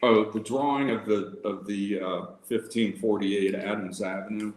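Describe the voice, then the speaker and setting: A man presenting an informal livestream lecture as a society president, talking conversationally and haltingly. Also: fairly low pitch, fairly even intonation, a little mellow. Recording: roomy, echoing livestream audio.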